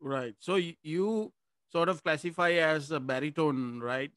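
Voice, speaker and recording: Male host asking stuff over a video call, fairly high voice, smooth and dry, hesitant questions. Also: a little slow, fairly bright tone, dry recording. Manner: short acknowledgements, hesitant questions. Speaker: podcast host. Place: room indoors. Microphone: studio mic in front of face.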